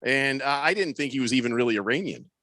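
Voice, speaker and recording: A man's voice: mid-range voice, animated, fast talker, bright, forceful tone, dry recording, studio quality. A man hosting a sports radio talk show, telling stories and reading listener comments in casual banter. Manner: storytelling, reads listener comments aloud.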